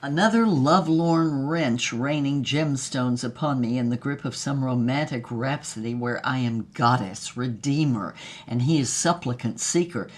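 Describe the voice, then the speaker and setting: A woman's voice: a bass voice, moderate pace. Woman acting wry, worldly, tough femme fatale. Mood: dismissive. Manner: wry, sardonic, unflappable. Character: worldly, wry, tough glamorous woman recalling her love affairs. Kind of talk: dramatic first-person storytelling in audio fiction.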